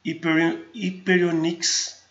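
A man from Brazil walks through software on screen in a tutorial voice-over, restarting words often. His tone is bright and forceful. The recording sounds dull and muffled. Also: a little high, level tone, takes it slowly. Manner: hesitant, frequent restarts and fillers. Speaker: host of a geotechnology channel.

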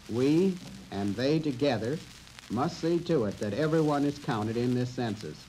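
A middle-aged American man giving a formal, measured scripted address as a government official in a 1950 film. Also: mid-range voice, a little mellow. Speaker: census director giving an address. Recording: old filmstrip soundtrack with faint crackle.

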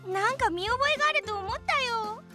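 A woman playing an excitable, cheeky, curious young academy student in an anime-style radio drama, exclaiming with wide-eyed reactions. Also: extremely high pitch, fairly quick, soft background music, heavily acted. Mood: curious, surprised.

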